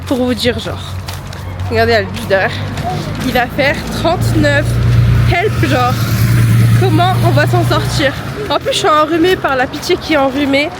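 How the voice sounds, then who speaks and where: A woman's voice: sits high, normal speed, very noisy. A young woman with a head cold chatting casually to her phone camera for a vlog, upbeat and full of slang. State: congested with a cold. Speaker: vlogger. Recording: music playing under the voice.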